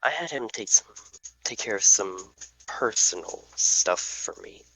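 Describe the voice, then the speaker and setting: A man's voice: fairly low pitch, lively intonation, unhurried, pushed and bright, slightly rough, no echo, full character voice, poor audio. A man playing a reserved, secretive male school principal in an amateur table read. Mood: guarded.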